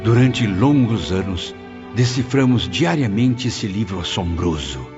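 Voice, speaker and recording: A man's voice: on the low side, animated, a little slow. An actor playing a humbled, marveling male spirit who narrates his own story dramatically and reverently in an audiobook. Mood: earnest. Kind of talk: first-person audiobook narration. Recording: muffled, clear background music.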